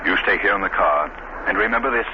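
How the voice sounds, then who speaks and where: An actor playing a resolute gentleman sleuth, urbane, assured and reassuring, in 1930s radio drama style. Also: sits low, quick pace, lightly acted. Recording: muffled, noisy 1930s radio recording.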